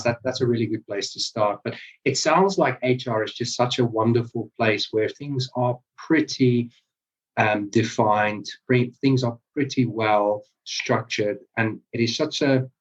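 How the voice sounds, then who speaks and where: Low-ish guy cohosting, stumbly repeats, sounds far off and muffled. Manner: halting, repeats words while thinking. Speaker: podcast co-host. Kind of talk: casual conversational interview. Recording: distant, dull lo-fi sound.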